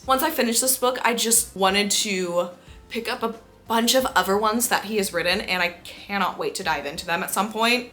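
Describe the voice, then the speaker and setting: Female book reviewer for casual vlog: medium pitch, bright tone, enthusiastic and emphatic delivery. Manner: gushing, excitable, emphatic. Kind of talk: casual chat to viewers.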